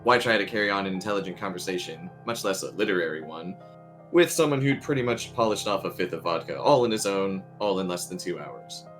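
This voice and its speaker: Deep voiced guy reading a creepy story out loud, guitar music under him. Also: animated, brisk. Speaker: story reader. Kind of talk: narrating a creepy story aloud.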